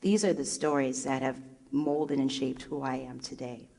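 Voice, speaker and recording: A low-voiced woman from Liberia tells stories from a stage, animated and full of quoted voices. Her talk rings in a big hall with a long echo. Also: normal speed, vocal fry. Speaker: guest speaker, first grade teacher. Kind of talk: storytelling talk at a live event. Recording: echoey hall.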